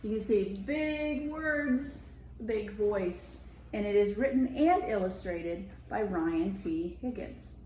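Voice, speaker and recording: A woman's voice: mid-range voice, animated, takes it slowly, dark tone, slight background noise. An American woman reading a picture book aloud to children as a school media specialist, with conversational explanatory asides. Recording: roomy echo.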